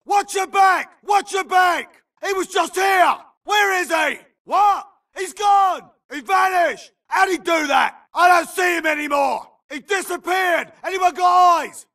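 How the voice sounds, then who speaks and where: An actor playing an urgent infantry grunt in a video game, barking clipped combat callouts. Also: male voice, very high voice, unhurried, few pauses, sharp tone, shouting, recorded from a distance, heavily acted. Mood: urgent alarm. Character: gruff, urgent infantry grunt soldier in battle. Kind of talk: shouted combat barks.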